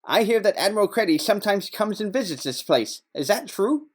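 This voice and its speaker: A man's voice: high voice, big pitch swings, fairly quick. A man playing a dry, inquisitive private detective in a noir audio play. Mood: casual probing. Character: wry male private detective undercover in a slaughterhouse.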